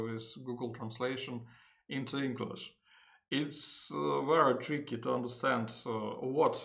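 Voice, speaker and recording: A man with a Russian accent and Russian as his first language, giving an unedited software tutorial in English, halting often with fillers and correcting himself. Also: mid-range voice. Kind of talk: unedited screen-recorded tutorial.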